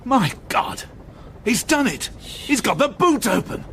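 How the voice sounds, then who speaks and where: An actor playing a brusque, exasperated police inspector in a radio crime drama. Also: male voice, extremely high pitch, very animated, slow, crowd noise, full character voice. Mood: alarmed. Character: brusque middle-aged male police inspector. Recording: radio drama with background noise.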